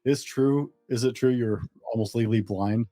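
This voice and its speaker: A man's voice: mid-range voice, normal speed, dark tone, dry recording, soft background music. A man hosting an interview, encouraging his guest and summing up points for his listeners. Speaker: podcast host.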